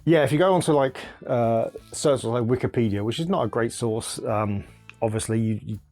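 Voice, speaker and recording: A man's voice: mid-range voice, normal speed, darkish tone, soft background music. A man hosting his own video channel, explaining things in a casual, earnest way, thinking aloud and restarting his sentences often. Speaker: retro computer video host. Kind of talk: casual explainer talk to camera.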